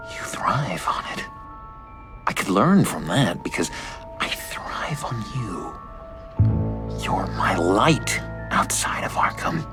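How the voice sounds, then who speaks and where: An actor playing a lovesick, unhinged, childlike young man in a video game, halting and breathy in a theatrical delivery. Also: sits low, exaggerated voice. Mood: wistful, excitable. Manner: halting, breathy, swooping between whispers and outbursts. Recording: music behind the voice.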